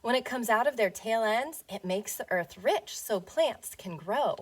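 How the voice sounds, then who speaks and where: A woman teacher reading a picture book aloud to young children, playful and expressive, often putting questions to them. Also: fairly high pitch, a little slow.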